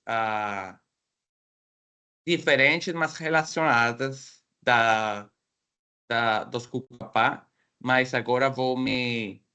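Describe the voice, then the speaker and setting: Slow, hesitant man with a Mexican Spanish accent. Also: medium pitch, bright, forceful tone, smooth. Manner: hesitant, searching for words in a second language. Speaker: guest speaker. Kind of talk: academic seminar presentation. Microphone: video call.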